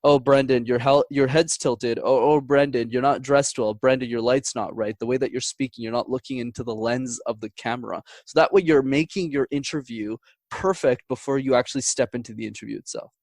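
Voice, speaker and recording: A man's voice: medium pitch, steady tone, fairly quick, darkish tone, no echo. A man giving conversational advice as an interview guest, articulate and instructive, laying out points with examples. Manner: articulate, instructive, structured explanations. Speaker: communication coach, interview guest.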